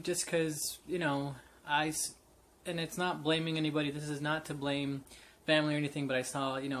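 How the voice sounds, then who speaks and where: A man's voice: medium pitch, a bit bright, a little hiss or hum. A man in his late twenties telling a personal story off the cuff, candid and rambling with many fillers and restarts. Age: 20s. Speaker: vlogger. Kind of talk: unscripted personal story to camera.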